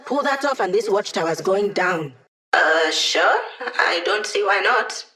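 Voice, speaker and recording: A woman's voice: mid-range voice, lively intonation, unhurried, sharp tone. Game role: young female tech expert, snarky, playful, upbeat, conversational delivery with a teasing edge. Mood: wry, teasing. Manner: wry, casual, playful teasing. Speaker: video game support character. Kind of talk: video game voice acting.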